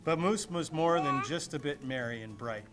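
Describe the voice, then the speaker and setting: A man's voice: medium pitch, moderate pace. A man reading a children's storybook aloud in a playful, theatrical way. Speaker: storybook reader. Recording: music playing behind the voice.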